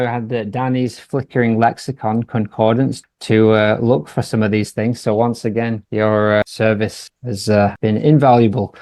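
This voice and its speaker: A man's voice: mid-range voice, darkish tone. A man hosting a casual podcast panel, chatty and upbeat as he lays out the rules. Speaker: podcast host.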